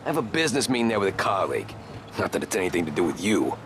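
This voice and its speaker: A man's voice: low-pitched, big pitch swings, very fast, background noise. An actor playing a smug, impatient businessman passenger in a game cutscene, brusque and condescending. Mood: smug, lecturing. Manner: brusque, pompous.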